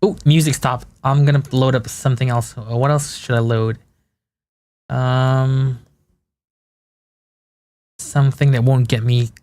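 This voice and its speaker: A man's voice: mid-range voice, darkish tone, a little hiss or hum. Malaysian man rambling while modeling. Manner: rambling, thinking aloud with restarts and chuckles. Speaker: game developer streamer. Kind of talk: casual live-stream chat while working.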